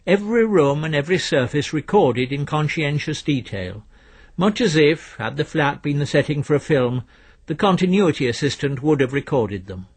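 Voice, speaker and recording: A man's voice: a little high, expressive, normal speed, husky. A man giving scripted narration of a story as its narrator.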